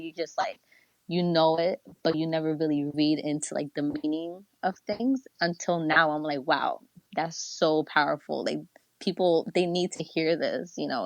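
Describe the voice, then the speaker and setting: A woman from New Jersey, a guest on a podcast, chatting in a relaxed way with plenty of fillers. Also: deep voice, no room sound, rough recording. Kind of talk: casual conversation.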